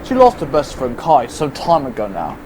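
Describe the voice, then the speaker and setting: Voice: mid-range voice, huge pitch swings, normal speed, background noise. A performer playing a kindly, loyal, courteous talking reindeer in an audio play. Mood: excited. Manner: courteous, pleading.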